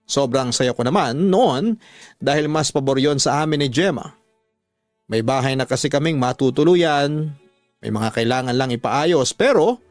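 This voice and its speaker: A man narrating a first-person radio drama story in an earnest, measured storytelling manner. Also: medium pitch, dry recording. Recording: dry voice over music bed.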